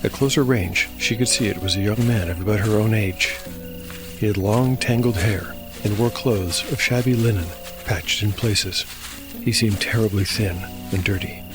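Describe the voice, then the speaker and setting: A man narrating a scripted fantasy tale as the storyteller and game master. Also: lowish voice. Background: music bed.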